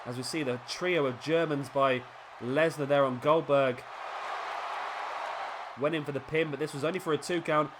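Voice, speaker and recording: A man, sniffly with a cold, commentating a wrestling video game play by play and getting excited at big moves. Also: on the high side, moderate pace, pauses often, noisy background.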